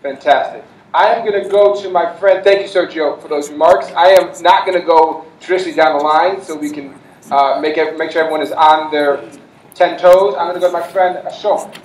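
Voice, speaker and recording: A man moderates a panel in a high voice, energetically putting questions to the audience. He sounds far from the mic, with the echo of a big hall around him. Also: brisk. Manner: energetic, addresses the crowd. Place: large conference hall.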